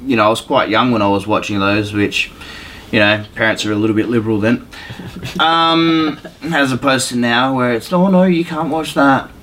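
A man's voice: mid-range voice, moderate pace, a bit bright, slight background noise. A man chatting casually as a podcast co-host, informal, slangy and opinionated.

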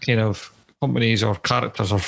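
Gravelly-voiced man telling a story. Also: on the low side, steady tone, brisk, fairly bright tone. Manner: storytelling, swears casually, hesitant fillers. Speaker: podcast co-host. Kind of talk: casual chat.

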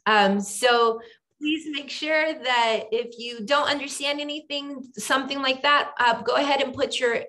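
Slow-paced bilingual woman attorney. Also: fairly high pitch, a bit bright. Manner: switches between English and Spanish, many ums. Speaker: immigration attorney and presenter. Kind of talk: bilingual online seminar presentation. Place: home video call. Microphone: video call microphone.

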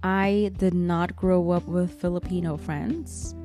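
A woman's voice: lowish voice, steady tone, slow, dark tone, soft background music. A woman hosting a solo podcast, talking in an earnest, reflective and encouraging way. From Germany. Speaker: podcast host.

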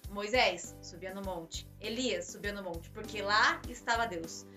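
A woman's voice: medium pitch, a little slow, pushed and bright. A Brazilian woman co-hosting a podcast, offering casual religious reflections in playful, slangy language with rhetorical questions. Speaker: podcast co-host. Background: music under the voice.

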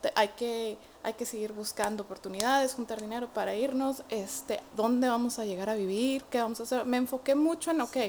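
A young Mexican woman with a Mexican Spanish accent, a guest in an interview, telling her story in an animated way with many fillers. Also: on the high side, fairly quick, hardly any pauses, a little hiss or hum. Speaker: guest, immigrant to Canada. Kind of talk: casual interview chat.

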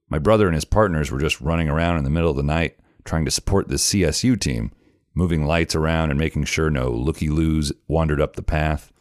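A man, the show's host, reading a scary story aloud in a measured, grave storytelling manner. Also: a bass voice, no room sound, studio quality. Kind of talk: scripted narration of a horror story.

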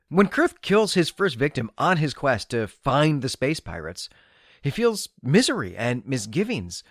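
A man's voice: a little high, no room sound, clean studio sound. A man hosting a podcast, giving literary commentary in an emphatic, enthusiastic way with conversational asides. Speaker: podcast host. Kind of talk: literary review commentary, semi-scripted.

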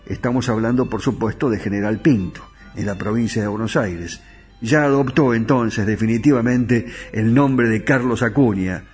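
Chatty Argentine radio host. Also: male voice, lowish voice, normal speed, a little mellow, slightly rough, faint music behind. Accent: Argentine Spanish. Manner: chatty storyteller, asks listeners questions. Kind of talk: radio talk about tango history. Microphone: studio microphone.

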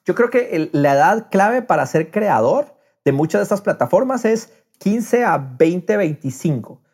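Higher-voiced man chatting on a podcast. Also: lively intonation, a little slow, a little mellow, husky. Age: middle-aged. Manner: mixes English phrases into speech. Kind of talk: casual podcast conversation.

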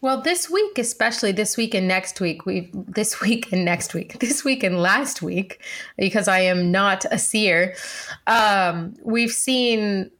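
Woman, podcast co-host, medium pitch, articulate, dry wit, conversational market discussion. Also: animated, slow pace, a bit bright.

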